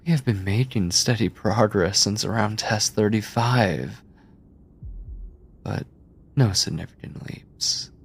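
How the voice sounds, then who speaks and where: Man playing a husky, obsessive mad scientist. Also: fairly low pitch, full character voice. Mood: excited, teasing. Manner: clinical, condescending endearments, theatrical drawn-out pauses and breathy whispering. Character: obsessive mad scientist captor, male, coldly doting and gloating. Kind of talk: horror ASMR roleplay, intimate monologue to listener.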